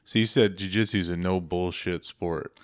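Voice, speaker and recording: A man's voice: low-pitched, fairly even intonation, normal speed, no room sound, clean studio sound. A man hosting a podcast who leads a casual chat with joking, teasing banter. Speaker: podcast host.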